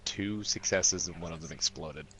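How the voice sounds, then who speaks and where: A man's voice: low voice, moderate pace, heavy background noise. A man chatting casually as a player in a tabletop role-playing game.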